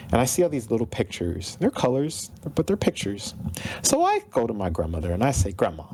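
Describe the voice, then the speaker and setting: A man's voice: mid-range voice, brisk, slightly rough, low-quality recording. A man in his thirties, a guest on a podcast, telling stories animatedly and laughing often. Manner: animated storyteller, laughs often, acts out the people he quotes. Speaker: guest, video game composer. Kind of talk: podcast interview.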